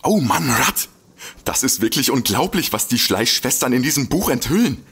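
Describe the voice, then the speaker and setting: An actor playing a gullible, eager amateur detective in a comic audio drama. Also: male voice, mid-range voice, sing-song, moderate pace, vocal fry, a bit bright, slightly rough, exaggerated voice. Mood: excited.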